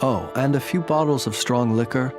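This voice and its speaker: A man voicing a courteous, modest gentleman in a video game, speaking in a measured, polite way with wry understatement. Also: medium pitch, over music, lightly acted. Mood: thoughtful. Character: courteous, gentle male lantern bearer, modest and wryly humorous. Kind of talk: video game character dialogue, storytelling.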